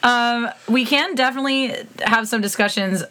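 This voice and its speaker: A woman's voice: fairly high pitch, moderate pace, sharp tone. A woman co-hosting a podcast, chatting casually with a joking, dryly sarcastic manner. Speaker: podcast co-host. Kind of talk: casual banter.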